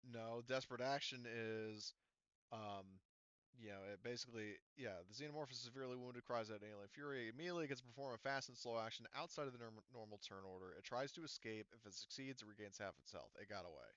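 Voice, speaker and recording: A man's voice: mid-range voice, quick pace, fairly bright tone, clean tone, no room sound, muffled recording. A man acting as game master, casually narrating a tabletop roleplaying game, often stopping to correct himself.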